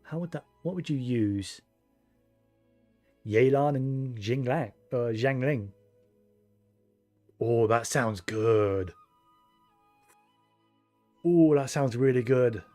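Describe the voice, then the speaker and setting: A man chatting casually on a game livestream, rambling and thinking aloud in a low-key way. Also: takes it slowly, long pauses, mellow. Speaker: game streamer. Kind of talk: casual livestream chat over gameplay. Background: game music.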